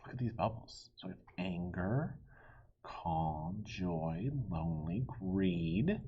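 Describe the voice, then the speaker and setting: Male children's book reader: very raspy-breathy, slow and deliberate, theatrical with hushed dips. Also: deep voice, expressive, dull audio. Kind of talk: animated read-aloud storytelling for children.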